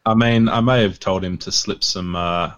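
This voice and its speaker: Deep-voiced monotone co-host. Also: male voice, normal speed, muffled recording. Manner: self-described monotone, laid-back. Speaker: podcast co-host. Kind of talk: casual banter. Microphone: video call.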